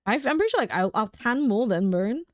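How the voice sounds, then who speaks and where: A woman's voice: on the high side, big pitch swings, brisk, darkish tone, dry recording, studio quality. A young woman streaming a game at home, chatting casually with viewers, laughing often and filling her talk with "like". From United Kingdom. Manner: chatty and giggly, many "like" fillers.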